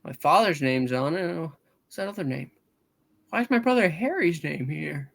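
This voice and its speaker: A woman's voice: deep voice, lively intonation, moderate pace, vocal fry, full character voice. A woman playing a distraught, desperate family man in a hammed-up radio play reading. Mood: indignant disbelief.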